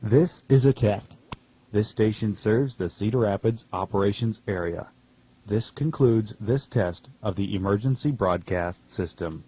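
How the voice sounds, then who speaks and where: A man's voice: fairly low pitch, a little slow, dark tone, breathy edge, low-quality recording. A man reading a formal, scripted emergency test announcement for radio.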